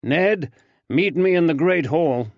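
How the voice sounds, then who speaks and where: A man voicing a calm, shrewd detective who speaks in a measured, even-tempered way, in a dull, dry audiobook recording. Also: medium pitch, dry recording, lightly acted.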